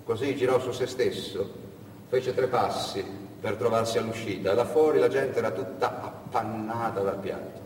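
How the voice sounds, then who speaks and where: A man narrating a dramatic monologue as a stage storyteller, in theatrical, repeated phrases with pauses. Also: lowish voice, a little mellow. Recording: echoey hall, distant mic, background noise.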